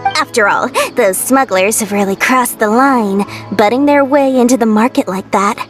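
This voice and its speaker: A woman's voice: a little high, normal speed, natural voice. A woman voicing a shrewd, self-interested merchant in video game dialogue, smug and lecturing. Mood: scheming. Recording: game dialogue with faint music.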